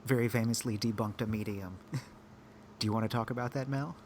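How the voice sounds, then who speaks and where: Lowish-voiced man in casual discussion. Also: fairly even intonation, normal speed, darkish tone, a little hiss or hum. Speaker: podcast co-host.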